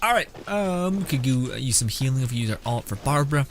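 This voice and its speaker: A man's voice: medium pitch, lively intonation, quick pace, a little hiss or hum. A man giving casual, rambling live gameplay commentary as a streamer, jokey and slangy. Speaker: game streamer. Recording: game music behind the voice. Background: game music.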